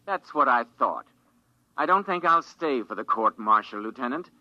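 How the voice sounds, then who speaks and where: A man's voice: mid-range voice, expressive, moderate pace, muffled recording. A man acting a gloating old scientist in a stolen body, mocking and drawling over a telephone in 1950s radio style. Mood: smug, mocking. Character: gloating elderly scientist inhabiting a male corporal's body.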